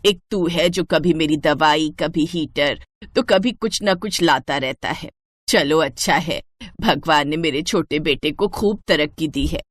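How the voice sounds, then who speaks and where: A woman doing a grumbling old mother-in-law, pitched down. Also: unhurried. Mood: fond, bitter. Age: older.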